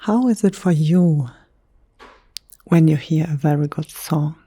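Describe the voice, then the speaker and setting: A German woman, a native German speaker talking in English, whispering softly in a gentle ASMR chat. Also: deep voice, slightly rough, no echo. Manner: soft, slow, unhurried whisper with gentle pauses. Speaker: ASMR creator.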